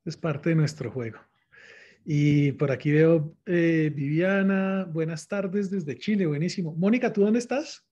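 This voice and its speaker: Un hombre de mediana edad con acento colombiano da la bienvenida, uno por uno, a los participantes de una videollamada. Tiene una voz algo aguda pero oscura y cálida, y habla en tono relajado y conversador. El audio del auricular suena apagado, con poco brillo. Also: male voice, on the high side, unhurried, dark tone. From born in Mexico, raised in Colombia. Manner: chatty, greets each participant by name. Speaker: host of an online talk. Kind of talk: relaxed video-call welcome. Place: home room with closed blinds. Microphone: headset on a video call.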